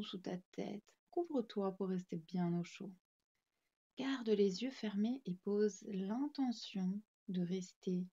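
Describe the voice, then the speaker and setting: Mellow-voiced woman guiding a calm meditation. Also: medium pitch, breathy edge, lo-fi sound. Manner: calm, soothing, instructing gently in short phrases. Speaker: yoga nidra meditation guide. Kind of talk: guided relaxation meditation narration.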